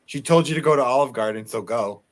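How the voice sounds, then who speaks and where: A man's voice: mid-range voice, fast talker. A man from Boston with a Boston accent, bantering and teasing in a casual livestream chat.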